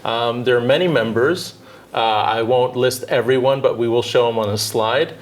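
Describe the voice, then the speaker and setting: A man in his thirties from Southern California, a dentist answering interview questions in a measured, thoughtful way with frequent filler pauses. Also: medium pitch, a little hiss or hum. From Southern California, United States. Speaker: dentist and orthodontist guest.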